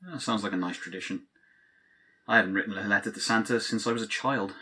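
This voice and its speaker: A man's voice: lowish voice, quick pace, sharp tone, slightly rough. An actor playing a cynical, exasperated young man in an audio drama, with a sardonic, disbelieving way of talking. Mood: dry, sceptical. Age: young adult. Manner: sardonic, incredulous. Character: cynical adult man, exasperated, let-down Christmas sceptic, best friend. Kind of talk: naturalistic audio drama dialogue.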